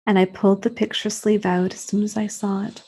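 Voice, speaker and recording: Female story reader, dark husky tone, literary first-person narration. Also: medium pitch, flat delivery, brisk.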